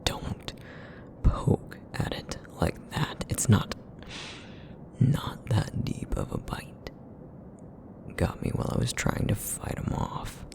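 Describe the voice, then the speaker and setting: A man voicing a broody, guarded feral essence eater in an ASMR roleplay, with a raspy, rumbling, breathy murmur and terse, grudging phrases. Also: huge pitch swings, takes it slowly, pauses often, exaggerated voice. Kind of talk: ASMR roleplay audio acting.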